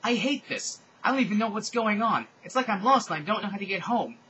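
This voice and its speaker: Man putting on a high, wailing, weepy boy. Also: animated, fast, sharp tone, dull audio, slight background noise. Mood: distraught. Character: weepy, helpless, lost teenage boy.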